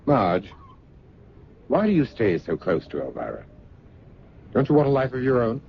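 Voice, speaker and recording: An actor playing a suave, candid escort in an old-time radio drama, smooth-talking, wry and breezy. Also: male voice, medium pitch, wildly swooping pitch, moderate pace. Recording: muffled, noisy vintage radio recording.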